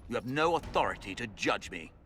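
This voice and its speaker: Man acting a haughty zealot lord, forceful and sharp. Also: mid-range voice, animated, fairly quick, a little hiss or hum, close to an everyday voice. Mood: haughty defiance. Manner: haughty, declamatory. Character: defiant fanatic Tevinter lord facing judgement, haughty zealot. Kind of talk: dramatic video game acting.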